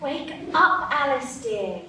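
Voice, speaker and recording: Woman playing a gentle, teasing older sister. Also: high-pitched, lively intonation, slow pace, background noise. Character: Alice's kind teenage older sister Edith. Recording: echoey stage, distant mic.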